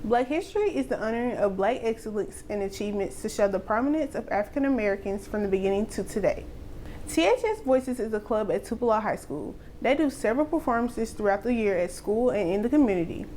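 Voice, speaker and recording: A woman's voice: a little high, lively intonation, quick pace, mellow, slight background noise. A woman presenting a school program, reading scripted narration.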